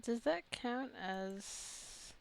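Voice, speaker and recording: A woman's voice: fairly high pitch, lively intonation, extremely slow, gravelly, a little mellow. A woman giving casual let's play commentary, chatty and rambling as she muses aloud. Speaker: gamer narrating own gameplay.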